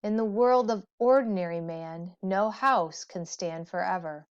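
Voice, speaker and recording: A woman voicing a calm, wise, immortal old man in a storybook legend, measured and solemn. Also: mid-range voice, smooth, close to an everyday voice. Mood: solemn, instructive. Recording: dull, muffled lo-fi audio.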